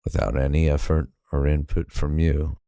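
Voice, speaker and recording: A man narrating a guided sleep hypnosis in a calm, soothing, unhurried manner with long pauses. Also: very deep voice, smooth, no room sound, studio quality.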